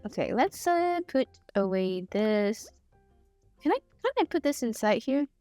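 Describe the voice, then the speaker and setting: A woman's voice: fairly high pitch, sing-song, unhurried, a little mellow, no room sound, faint music behind. Chatty young woman, mixed Singaporean accent. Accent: mixed, partly Singaporean English. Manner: chatty and rambling, with frequent laughs and playful pleading. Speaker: streamer. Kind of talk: casual livestream chat while gaming.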